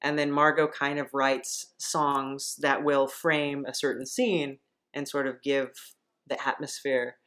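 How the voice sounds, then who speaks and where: A woman's voice: extremely low pitch, little pitch movement, slow pace, fairly bright tone. A woman hosting a podcast, interviewing a guest in a casual, conversational style. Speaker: podcast host.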